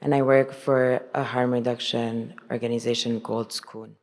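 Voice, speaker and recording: A woman's voice: a bass voice, level tone, moderate pace, a little mellow. A woman from Lebanon giving a prepared conference speech, earnest with touches of dry humor. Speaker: conference speaker. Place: large conference hall. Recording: echoey conference hall.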